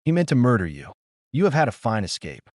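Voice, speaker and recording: A man voicing a concerned, grave gentleman lawyer in an audiobook, formal and questioning. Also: mid-range voice, wildly swooping pitch, brisk, no echo, studio quality. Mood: grimly certain. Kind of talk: dramatic audiobook character reading.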